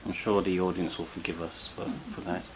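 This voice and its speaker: A man's voice: low-pitched, little pitch movement, a little fast, a little hiss or hum, poor audio. A man hosting an interview, steering the talk with prompting questions. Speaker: host.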